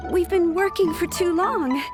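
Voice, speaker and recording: A woman's voice: very high voice, measured pace. A woman voicing an anxious, overworked young office worker in an anime-style game, exclamatory and flustered. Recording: music over the dialogue. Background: playful background music.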